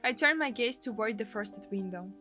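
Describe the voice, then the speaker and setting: Let's play casting: woman with Russian accent, bright highish voice, expressive translated storytelling, light music bed. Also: moderate pace. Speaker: gaming streamer. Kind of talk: let's play, reading a live translation aloud.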